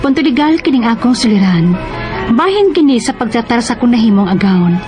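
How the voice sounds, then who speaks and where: An actress playing a grateful, eager nineteen-year-old working student daughter in a radio drama. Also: female voice, fairly high pitch, expressive, a little fast, music in the background, lightly acted. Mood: narrating earnestly. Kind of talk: Cebuano radio drama acting.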